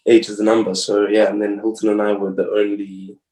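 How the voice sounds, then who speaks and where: A man's voice: fairly low pitch, monotone, a little fast, warm tone. A young man from Zimbabwe, a guest chatting casually and laughing often, repeating his phrases. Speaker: guest, rugby player. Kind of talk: casual conversation. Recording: echoey room, distant mic.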